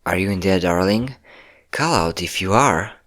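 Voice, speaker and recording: A low-voiced, obsessive ex-boyfriend, acted out by a man in an audio roleplay. He is manipulative and possessive, coaxing his lover with pet names while an undercurrent of menace runs through his words. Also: lively intonation, moderate pace, fairly bright tone. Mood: coaxing, controlled. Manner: coaxing, menacing, calling listener pet names, nervous chuckles. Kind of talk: scripted ASMR-style audio roleplay.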